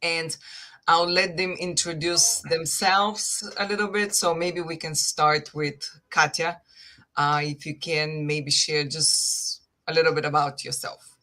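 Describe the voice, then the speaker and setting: Bright-voiced woman hosting an interview. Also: low-pitched, steady tone, a little slow. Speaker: host. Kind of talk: conversational interview.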